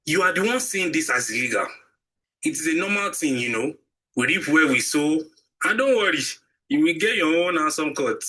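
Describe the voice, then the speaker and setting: A man performing a crooked Nigerian executive in an audio drama. He has a sharp, very forceful voice pitched a little high, and he is smooth-talking, overbearing and menacing. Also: animated, moderate pace, exaggerated voice, low-quality recording. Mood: scheming, coaxing. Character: corrupt, menacing male executive boss pressuring his accountant.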